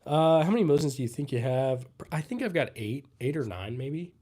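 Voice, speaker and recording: A man's voice: fairly high pitch, a little fast. A young man who is sick and losing his voice, casually chatting and answering viewers' questions on a livestream. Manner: relaxed, rambling, answers chat comments. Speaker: livestream host. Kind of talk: casual live Q&A chat.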